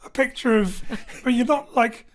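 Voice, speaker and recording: A woman's voice: fairly high pitch, normal speed, a crowd in the background. A woman hosting a remote chat show, teasing and upbeat as she questions guests. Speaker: host.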